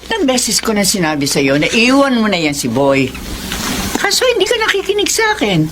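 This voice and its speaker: An exasperated older Filipino mother from a radio melodrama. Her pitch swoops as she scolds, reproachful but caring. Clear ambient noise is behind her. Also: wildly swooping pitch, moderate pace.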